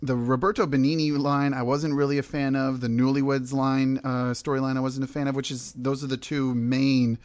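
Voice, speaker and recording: A man's voice: medium pitch, flat delivery, normal speed, hardly any pauses, a little mellow. A man with a mid-range voice hosts a film podcast. He delivers reviews and opinions in a conversational presenter's style. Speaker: podcast host. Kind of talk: film review, scripted intro and loose discussion.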